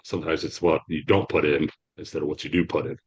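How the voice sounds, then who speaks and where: A man talking as a guest author on a podcast in a rambling, halting way, with frequent restarts. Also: extremely low pitch, level tone, husky, lo-fi sound. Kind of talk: casual interview answers.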